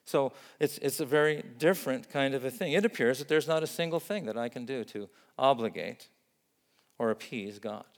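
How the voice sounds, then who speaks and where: Male pastor, grew up in Vietnam; steady, earnest preaching, middle pitch, recorded in an echoing church hall. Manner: earnest, measured preaching with emphatic lists. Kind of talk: sermon.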